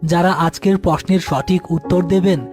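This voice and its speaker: Guy narrating a scary story for his channel, voice on the high side, bit of music under it. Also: steady tone, a little fast. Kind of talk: scripted horror story narration.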